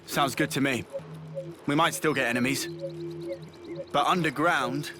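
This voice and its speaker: A man's voice: medium pitch, unhurried, natural voice. A man with a British accent acting a brash, restless young fighter in a game cutscene, blunt, slangy and impatient. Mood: casual, eager. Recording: music behind the voice.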